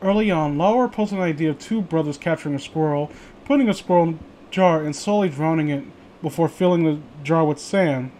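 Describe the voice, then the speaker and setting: A man with a notably high voice talks casually as a streamer commenting on what he reads. He stammers and restarts, sounding exasperated and swearing freely. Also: a little fast, a little mellow, a little hiss or hum. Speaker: streamer reading creepypasta with friends. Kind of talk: casual commentary and banter on a read-aloud.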